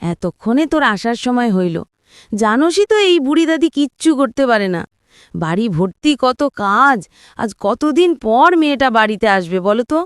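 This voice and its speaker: Woman playing a high, clear-voiced fussy old grandmother. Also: animated, normal speed, no room sound, studio quality, lightly acted. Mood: fussy, expectant. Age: older. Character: fussy, fond old village grandmother awaiting her family. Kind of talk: Bengali children's cartoon voice acting.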